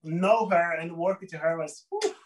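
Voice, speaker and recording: A Brazilian man speaks English as a second language, with a Portuguese accent. He talks slowly and haltingly, stops to find his words and laughs often. His voice sounds a little distant from the mic. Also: a little high, a little hiss or hum. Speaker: guest. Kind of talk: personal storytelling interview.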